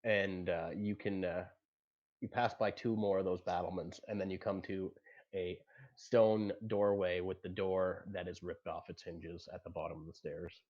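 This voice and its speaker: A man narrates a tabletop game as its game master. His voice sits low and he explains things haltingly, making it up as he goes. The sound is dull and lo-fi. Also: level tone.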